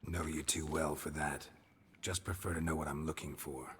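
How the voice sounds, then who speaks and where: An actor playing a stoic, dryly wry monster hunter in a fantasy video game, speaking in a terse, deadpan way. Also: male voice, extremely low pitch, moderate pace, breathy edge, full character voice. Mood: inquiring, cool.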